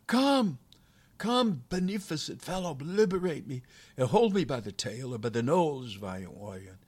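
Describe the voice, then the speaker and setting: Guy doing a tiny dried-up demon from a storybook, voice pushed way up high, pompous n spiteful, begging and cursing big. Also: animated, normal speed, slightly rough. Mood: desperate shouting. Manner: grandiose, theatrical pleading and cursing. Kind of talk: storybook character voice.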